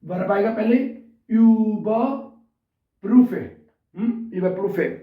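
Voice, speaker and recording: A man with a sore throat teaching a language lesson in a casual way, repeating example sentences word by word. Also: sits high. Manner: slow and repetitive, spelling out example sentences word by word. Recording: distant, roomy, dull sound.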